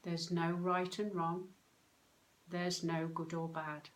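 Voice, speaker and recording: Slow, low-pitched woman guiding meditation. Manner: calm, soothing, unhurried instruction with pauses. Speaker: meditation guide, soul coach. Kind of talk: guided meditation.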